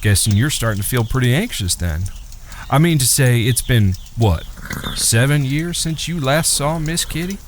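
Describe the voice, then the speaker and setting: Man acting a dry, lively young cowboy brother. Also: mid-range voice, moderate pace, slight background noise, natural voice. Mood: calm, probing. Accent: American. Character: level-headed, dryly teasing younger cowboy brother. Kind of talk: Western audio drama acting. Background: faint crickets.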